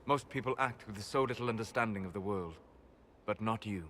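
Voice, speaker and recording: An actor playing a wise, aloof male elf mage in a game cutscene, measured and soft-spoken. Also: fairly low pitch, a little hiss or hum, lightly acted. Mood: calm, thoughtful. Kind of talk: video game cutscene dialogue. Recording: game audio with long reverb, faint ambience.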